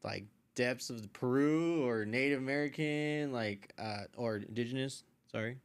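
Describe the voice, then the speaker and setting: A man's voice: mid-range voice, a little slow, smooth. A man chatting informally as a podcast guest, telling personal stories in a slangy, meandering way. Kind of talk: casual personal storytelling.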